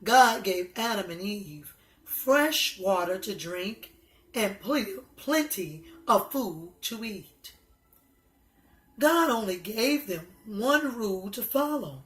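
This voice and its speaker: A woman reading a children's story aloud, with halting, deliberate delivery. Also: medium pitch, lively intonation, a bit bright. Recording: echoey room.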